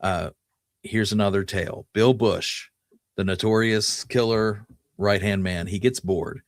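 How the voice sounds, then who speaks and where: A man hosting a podcast, telling Western history in a conversational, somewhat halting way. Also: lowish voice, fairly even intonation, no room sound, studio quality. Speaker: podcast host.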